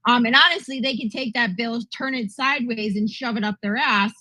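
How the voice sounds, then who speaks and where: A woman with a bright, fairly high voice argues in a live online audio room. She is blunt and crude and sounds fired up. The audio is close and dry. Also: fairly quick, no room sound. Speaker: panelist in live audio space. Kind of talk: heated political argument.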